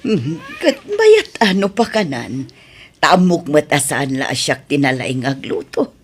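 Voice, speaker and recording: A woman playing a gentle middle-aged mother and loving wife, affectionate and emotive, in Ilocano audio drama style. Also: low voice, sing-song, measured pace, husky, heavily acted. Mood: chatty.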